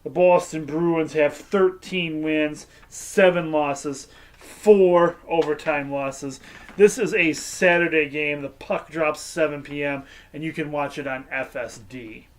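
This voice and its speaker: A man's voice: a little high, steady tone, slow pace. A middle-aged man hosting a casual sports preview show, rambling and hesitant, with a lot of fillers. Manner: rambling, hesitant, breaks into goofy sung tunes.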